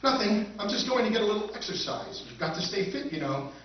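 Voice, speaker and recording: A man playing an exasperated, scheming human spaceman in a live 1950s-style radio play, with an animated, wry delivery. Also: sits high, brisk. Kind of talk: live recreation of 1950s radio science fiction acting. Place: live stage at a convention, indoors. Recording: echoey, distant, dull noisy convention hall.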